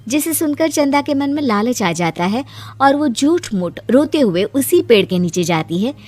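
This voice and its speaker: A woman's voice: high voice, very animated, normal speed, a little mellow. A woman reading scripted storybook narration for a cartoon moral tale. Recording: music behind the voice.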